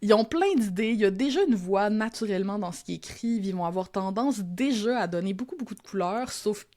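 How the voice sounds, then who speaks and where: A woman's voice: medium pitch, expressive, fairly quick, dark tone. A young woman with a Québécois French accent, a podcast guest and copywriting teacher, talking casually and reflectively with many fillers and restarts. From Quebec. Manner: reflective, self-deprecating, frequent fillers and restarts. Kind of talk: casual conversational interview.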